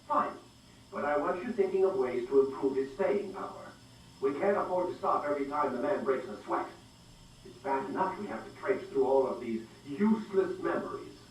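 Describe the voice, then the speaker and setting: A man's voice: a little high, lively intonation, moderate pace, dull audio, close to an everyday voice. Heavy echo, far from mic, man acting cold domineering male scientist boss, condescending, demanding, game cutscene. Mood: exasperated, demanding. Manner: pointed, condescending. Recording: very echoey, distant, faint noise.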